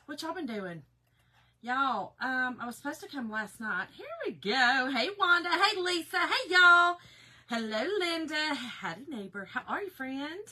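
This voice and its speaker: A middle-aged woman, a little hoarse, hosting a casual craft livestream and greeting viewers by name. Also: high-pitched, expressive, unhurried, pushed and bright. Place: home office.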